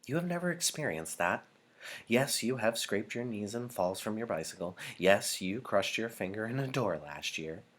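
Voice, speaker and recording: A man reading the part of a solemn woman leader who addresses her community formally and deliberately in an audiobook. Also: fairly low pitch, lively intonation, fairly bright tone, pitched above the performer's own voice. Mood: grave. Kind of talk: audiobook character dialogue.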